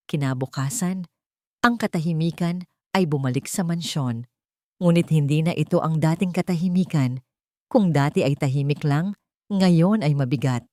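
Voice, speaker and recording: A woman narrating a scripted audiobook drama in a steady, dramatic storytelling manner. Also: low voice, dry recording, clean studio sound.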